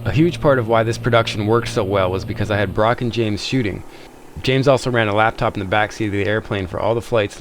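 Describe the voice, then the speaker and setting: A man with a fairly low voice narrates as host of a video. His delivery is casual and conversational, recorded with a faint layer of background noise.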